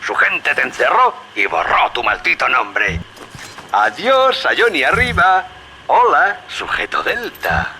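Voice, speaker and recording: A man's voice: a little high, wildly swooping pitch, slow, bright, forceful tone, a little hiss or hum, heavily acted. An actor playing a sly, scheming middle-aged businessman in a dubbed video game, wheedling and folksy. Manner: wheedling, folksy, calls the listener friend and brother. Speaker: radio guide character in a dubbed video game.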